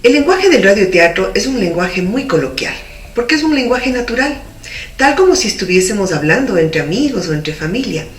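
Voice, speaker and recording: A woman's voice: mid-range voice, sing-song, normal speed, soft background music. Woman instructor in a roomy, distant recording. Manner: methodical, didactic. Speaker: radio drama producer giving a tutorial. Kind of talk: instructional explanation. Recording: roomy, distant mic, faint noise.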